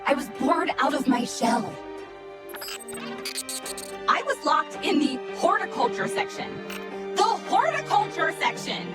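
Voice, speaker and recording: A high, swooping female voice over loud music, a sassy little machine companion in a video game. She is chatty and theatrical, complaining with exuberant drama. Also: very animated, unhurried, long pauses. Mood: indignant complaint. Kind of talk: video game cutscene acting.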